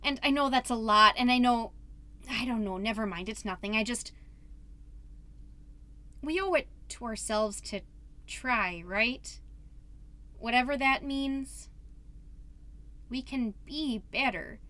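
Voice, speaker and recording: Bright, high-voiced, earnest suburban mom. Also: female voice, slow, pauses often. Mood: earnest, faltering. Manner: rambling, self-doubting, swelling into grand declarations. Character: earnest, anxious, chirpy suburban mom podcaster, a PTA member. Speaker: podcast host character. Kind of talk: comedic confessional monologue.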